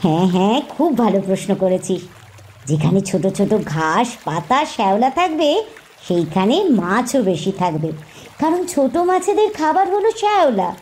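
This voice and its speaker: A woman's voice: mid-range voice, big pitch swings, moderate pace, a little mellow, a little hiss or hum, natural voice. A woman voicing a mother duck in a cartoon, teaching her young in a firm, instructive way. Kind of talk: cartoon voice acting.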